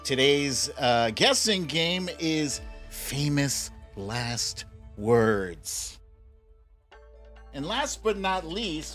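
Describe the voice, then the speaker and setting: A middle-aged American man hosting a casual livestream, chatting informally and playfully with his viewers. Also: mid-range voice. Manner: informal, playful, drawn-out shout-outs to viewers. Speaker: livestream show host.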